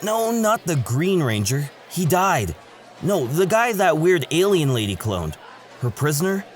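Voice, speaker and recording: A man's voice: a little high, big pitch swings, a little slow, faint music behind. A man playing an eager, awkward male student in a casual, chatty audio drama style. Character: excitable, awkward male student, lovelorn new kid.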